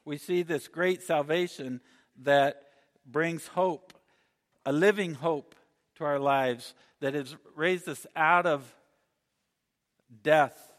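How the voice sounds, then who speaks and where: An earnest man preaches a sermon, measured and deliberate, restarting phrases as he goes. His voice sits a little high for a man. It carries a long reverb from the hall around him. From Mexico.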